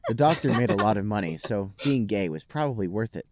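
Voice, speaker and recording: A man with a fairly low voice reads a comic fan fiction story as a podcast host. He tells it mock-seriously, and the sound is close and dry. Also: lively intonation, fairly quick, darkish tone, no room sound. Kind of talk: comedic narration of amateur fan fiction.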